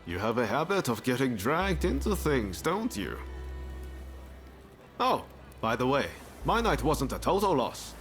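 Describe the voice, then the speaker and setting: An actor playing an easygoing, friendly samurai-era companion in a video game, talking in a casual, chummy way. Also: male voice, medium pitch, very animated, a little slow, faint music behind. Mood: wry, teasing. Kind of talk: video game cutscene acting.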